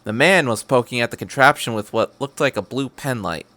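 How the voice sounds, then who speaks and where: A man's voice: mid-range voice, fairly quick, sharp tone, dry recording. A man hosting a podcast and reading fan fiction aloud as scripted narration.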